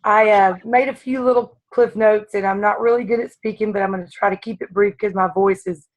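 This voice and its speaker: Raspy-voiced woman, freshly woken, earnest caller with halting speech, mid-pitched. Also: fairly even intonation, low-quality recording. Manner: halting, earnest, many restarts. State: just woke up. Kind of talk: casual sharing on a call.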